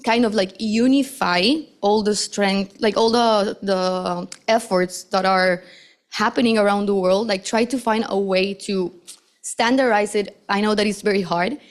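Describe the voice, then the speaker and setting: A woman's voice: medium pitch, steady tone, a little slow. A young woman in her twenties from Costa Rica, sharing her own story on a conference panel in a casual, chatty way full of fillers. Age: 20s. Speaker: patient panelist. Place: conference hall.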